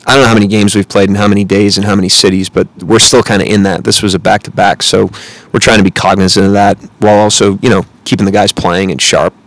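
Low, flat-voiced coach at the mic. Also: male voice, low-quality recording. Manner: measured, analytical, frequent "you know" and "um". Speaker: head coach. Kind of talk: post-game press conference answers. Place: press conference room. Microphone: table mic close in front.

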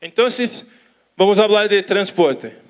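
A young man from Brazil with a Portuguese accent, giving a conference talk in halting Spanish on an auditorium stage, talking slowly with restarts. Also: sits high, expressive. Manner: slow, careful, with restarts and Portuguese words. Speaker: conference speaker. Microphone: handheld mic.

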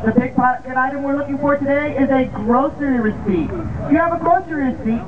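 An American woman working as a baseball public address announcer, reading scripted announcements in a measured way over an outdoor ballpark's loudspeakers. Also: high-pitched, a crowd in the background. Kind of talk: scripted PA announcing of lineups, promotions and game updates. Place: outdoor baseball field, heard over the PA system. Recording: tinny distant ballpark loudspeaker, outdoor noise.

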